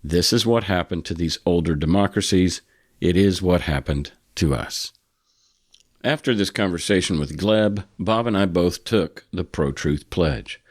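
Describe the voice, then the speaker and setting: A man's voice: low-pitched, unhurried, no room sound, clean studio sound. A man with an American accent reading a scripted podcast introduction as the host.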